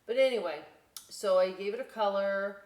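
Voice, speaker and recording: A woman hosting a children's storytime, reading and chatting informally in an expressive, emphatic way. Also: mid-range voice. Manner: expressive, emphatic, unhurried delivery. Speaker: library storytime host. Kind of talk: children's read-aloud with informal asides. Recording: echoey room.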